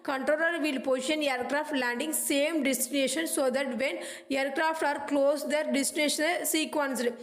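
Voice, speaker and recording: A woman reading educational notes aloud in a halting, clipped way, like a lecturer. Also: sits high, fairly even intonation, echoey room. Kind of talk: scripted educational narration.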